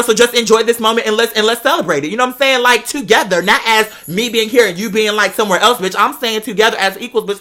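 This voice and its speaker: A woman telling a personal story as a host, in animated, slangy casual talk with a sassy, dramatic manner, peppering it with "girl" and swearing. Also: medium pitch.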